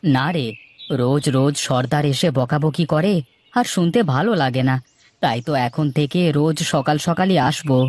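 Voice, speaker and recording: A man's voice: fairly high pitch, moderate pace, a little mellow, lightly acted. A man voicing a hardworking, affectionate village husband in a cartoon, with a homely, conciliatory manner. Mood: placating. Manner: homely, good-natured, conciliatory. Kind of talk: cartoon dialogue acting. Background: background music.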